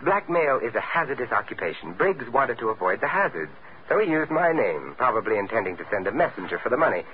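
Guy doing a witty suave adventurer from old radio, mid pitch, lively dry banter, dull hissy sound. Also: fast talker, natural voice. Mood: dryly amused. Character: suave, wry male adventurer, coolly teasing. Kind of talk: old-time radio detective drama acting. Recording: muffled old radio recording, faint hiss.

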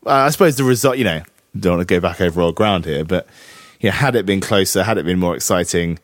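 A man co-hosting a casual podcast from his flat, dry and self-deprecating. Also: low-pitched, fast talker, dry recording. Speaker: podcast co-host.

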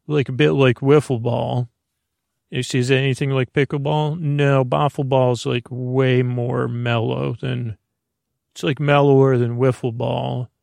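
Creaky-voiced man rambling on a sleep podcast. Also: mid-range voice, darkish tone, no echo, studio quality. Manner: meandering, tangent-prone, halting; whimsical wordplay, talks to himself in imagined dialogue. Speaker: podcast host.

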